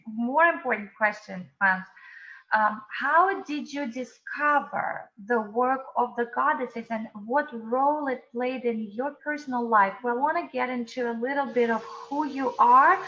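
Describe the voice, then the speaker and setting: High-voiced woman host on muffled audio. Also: a little slow, sharp tone. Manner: effusive, complimentary. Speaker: interview host. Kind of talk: conversational interview.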